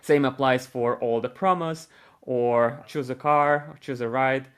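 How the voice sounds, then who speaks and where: A man hosting an online panel in a mid-pitched voice. He sounds upbeat and welcoming, and he keeps the session moving along. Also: measured pace, smooth. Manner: upbeat, repeats short praise. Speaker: host.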